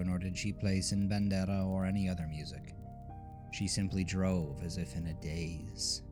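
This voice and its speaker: A man narrating a scripted horror audio drama in a deliberate, dramatic storytelling manner. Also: low voice. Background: music.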